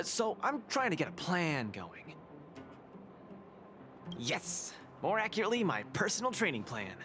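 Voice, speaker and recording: An actor playing a cheerful, boastful young fight champion in a video game, talking in an eager, playful way. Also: male voice, high-pitched, creaky, exaggerated voice. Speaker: cage fighter. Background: game music.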